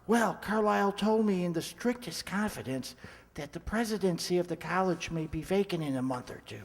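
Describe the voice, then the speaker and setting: A man's voice: high voice, expressive, normal speed. A man acting an anxious, ambitious college professor in a radio play, urgent and pleading. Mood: confiding, anxious. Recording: reverberant hall.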